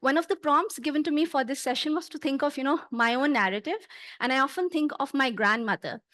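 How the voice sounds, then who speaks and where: A middle-aged Pakistani woman with a high, light voice, speaking English as a second language after Punjabi. She delivers a prepared conference talk in a measured, earnest way, pausing on small fillers. The sound is close and dry, with almost no room around her. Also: fairly bright tone, dry recording. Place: conference hall.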